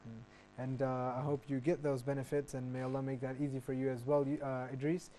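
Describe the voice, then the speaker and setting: A man's voice: medium pitch, flat delivery, mellow. A man hosting a studio panel discussion, welcoming guests and moving the talk along. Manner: brisk, welcoming, fillers like "uh". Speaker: talk show host.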